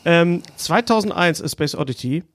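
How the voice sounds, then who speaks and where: Guy hosting a casual movie chat, voice a bit high, really dry recording. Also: expressive, normal speed, no room sound. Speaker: podcast co-host leading the discussion. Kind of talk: casual film discussion.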